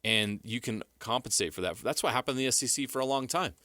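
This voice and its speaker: A man's voice: mid-range voice, quick pace, bright, forceful tone, dry recording. An American man co-hosting sports talk radio, giving casual analysis in an assured, plain-spoken, explanatory way.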